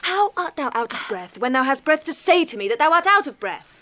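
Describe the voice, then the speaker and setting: Impetuous lovestruck girl, high and swooping. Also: sing-song, brisk, sharp tone, exaggerated voice. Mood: exasperated. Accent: British English. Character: Juliet, lovestruck impatient teenage girl, eager and impetuous. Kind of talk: classical Shakespearean audio drama acting.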